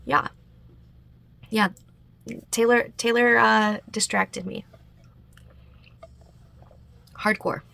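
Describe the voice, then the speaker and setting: A woman's voice: medium pitch, steady tone, takes it slowly, creaky, bright, forceful tone, no room sound. A woman chatting casually in a writing vlog, a bit congested and nasal, with frequent fillers and little laughs. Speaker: writing vlogger.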